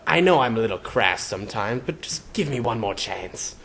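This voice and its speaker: A smug, lovesick enchanted hat, voiced by a man at a medium pitch. He coaxes and declaims pompously with theatrical swings, as a character in a fantasy story reading. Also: expressive, normal speed, fairly bright tone. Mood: coaxing.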